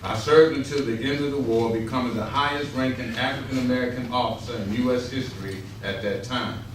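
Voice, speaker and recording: A man's voice: medium pitch, steady tone, brisk, close to an everyday voice. A man playing a proud Civil War army major in a formal readers theater monologue. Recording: distant, echoey hall, clear noise.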